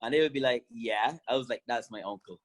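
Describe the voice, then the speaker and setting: A man's voice: medium pitch, fairly quick. Upbeat man from Barbados. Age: 30s. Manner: enthusiastic, laughs often. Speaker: guest, former fast bowler. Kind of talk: video-call interview.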